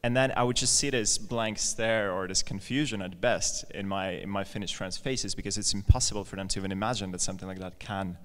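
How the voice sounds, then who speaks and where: A man's voice: on the low side, steady tone, brisk, few pauses. A man from Latvia telling his personal story as a guest on stage, in an informal, conversational way. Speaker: guest speaker, company founder. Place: large indoor event space, live on stage. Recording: echoey hall, live event PA.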